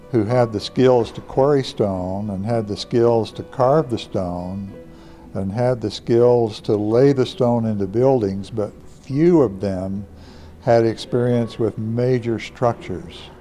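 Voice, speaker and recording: A man giving a plain, measured explanation as an interviewed expert. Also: on the low side, mellow.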